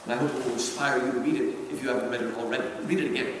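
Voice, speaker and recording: A man giving an animated public lecture as a college teacher, with an emphatic, theatrical storytelling manner. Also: medium pitch, rapid-fire. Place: large indoor hall at a public event. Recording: very echoey hall from far off, faint noise.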